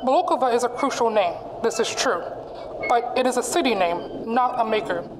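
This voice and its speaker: A woman's voice: fairly high pitch, moderate pace, noisy background, close to an everyday voice. A woman playing a shrewd, suspicious, boastful male dealer in a radio mystery, with a wisecracking manner. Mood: smug, suspicious. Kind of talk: 1940s radio mystery acting.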